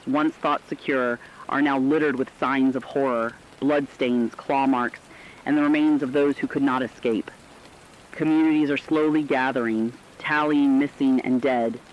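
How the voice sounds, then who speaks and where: A man's voice: medium pitch, lo-fi sound, a little hiss or hum. A man narrating a scripted emergency radio broadcast as a newscaster, with grave, solemn delivery. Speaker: radio newscaster in a horror story.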